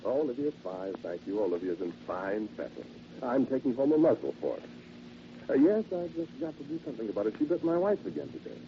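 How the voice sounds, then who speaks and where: An actor playing a polite, halting, quietly sinister henpecked salesman in a theatrical vintage radio horror monologue. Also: mid-range voice, big pitch swings, natural voice. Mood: chatty, genial. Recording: noisy, dull vintage radio recording.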